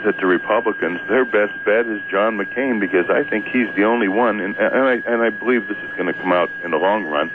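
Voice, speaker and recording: A man calling in to talk radio, chatting about politics in a halting, repetitive and emphatic way. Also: on the low side. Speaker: radio call-in guest. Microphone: telephone. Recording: tinny phone line, noisy hum, radio aircheck.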